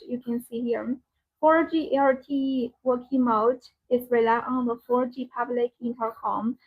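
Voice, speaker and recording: Female live-stream seller, high-pitched with a breathy rasp, halting and repetitive non-native delivery, unscripted demo style. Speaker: live-stream sales host demonstrating a product. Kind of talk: unscripted live product demonstration. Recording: dull, band-limited live-stream audio.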